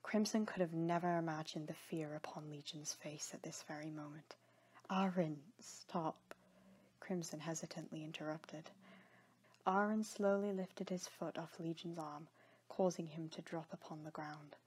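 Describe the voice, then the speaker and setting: Breathy, low-voiced woman narrating dramatic fiction. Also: steady tone, moderate pace, a little mellow. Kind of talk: scripted dramatic story narration.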